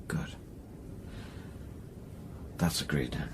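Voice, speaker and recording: An actor playing a weary, troubled scientist in an audio drama, speaking in a hushed, halting way. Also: male voice, noisy background. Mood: tender, pleading. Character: weary, troubled male scientist, intimate and bleak.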